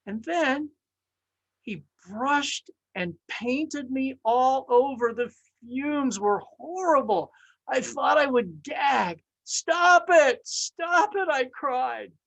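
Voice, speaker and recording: Woman voicing a high, distressed talking teacup. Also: animated, creaky, full character voice, low-quality recording. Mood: distressed, pleading. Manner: exclaiming, drawn-out emphatic words. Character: distressed talking teacup, dramatic, pleading and indignant.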